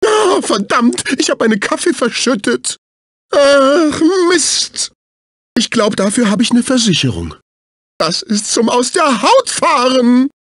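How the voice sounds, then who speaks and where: Fussy snobby cartoon game assistant, really high voice, swoops all over, super condescending. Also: male voice, big pitch swings, slow pace, a bit bright, no room sound, studio quality, full character voice. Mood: distressed, whiny. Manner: prissy, exclamatory, condescending. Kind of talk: dubbed cartoon video game voice clips. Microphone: close studio microphone.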